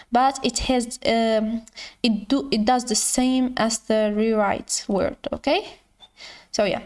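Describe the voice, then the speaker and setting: High-voiced woman, non-native English speaker. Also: darkish tone. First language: not English. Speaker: tutorial host. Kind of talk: informal screen-share tutorial.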